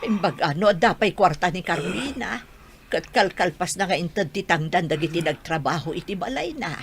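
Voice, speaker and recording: A woman's voice: on the low side, expressive, moderate pace, slight background noise. A woman playing a caring, plain-spoken grandmother in a radio drama, motherly and admonishing. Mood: concerned. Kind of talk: Ilocano radio drama acting.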